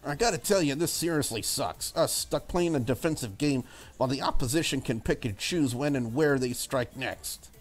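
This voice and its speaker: A man's voice: mid-range voice, normal speed, natural voice. A man voicing a confident, bossy young woman in a visual novel, talking in a blunt and breezy way. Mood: annoyed, defiant. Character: brash, confident young woman, breakfast cook.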